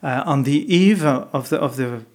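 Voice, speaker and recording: A man's voice: mid-range voice, a little slow. A man from France with a French accent, a monk telling stories in an interview, hesitant with many fillers and restarts. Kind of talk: reflective interview storytelling.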